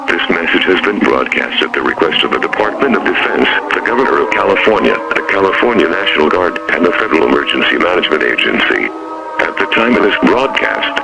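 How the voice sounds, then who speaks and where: A man playing a stern official announcer, reading a scripted emergency broadcast in a formal, solemn, deliberate manner. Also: very deep voice, vocal fry, heavily acted, rough recording.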